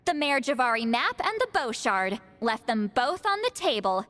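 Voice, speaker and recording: High-voiced, animated, bossy priestess. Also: female voice, moderate pace. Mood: confident. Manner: bossy, brash, theatrical. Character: proud, sharp-tongued high priestess, youthful granny, easily flustered. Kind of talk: video game cutscene voice acting.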